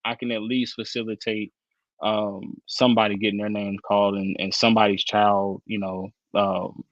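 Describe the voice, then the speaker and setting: A man in his early thirties, a guest on an interview over a video call, talking in an animated, slangy way with lots of fillers. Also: lowish voice, moderate pace, dry recording, lo-fi sound. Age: 30s. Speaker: guest, football analyst. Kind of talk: casual interview chat.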